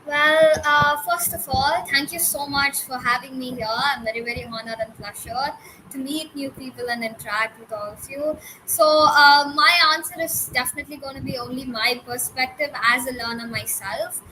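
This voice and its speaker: Teen girl, high bright voice, earnest and a bit hesitant student panelist, light background noise. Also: moderate pace, poor audio. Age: early teens. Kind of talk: earnest spoken answer on a panel.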